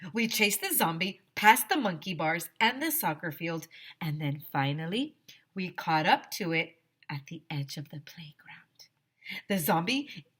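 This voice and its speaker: Female teacher, slightly low voice, very lively read-aloud for kids, dramatic pauses. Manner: dramatic, pausing, addresses young listeners directly. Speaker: elementary teacher reading aloud to children. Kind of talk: expressive children's book read-aloud.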